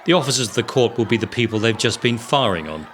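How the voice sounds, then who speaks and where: An actor playing a cynical, sardonic senior army officer, hard and dry, in an audio drama. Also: male voice, medium pitch, expressive, fast talker, a bit bright, a little hiss or hum, lightly acted. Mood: sardonic, grim.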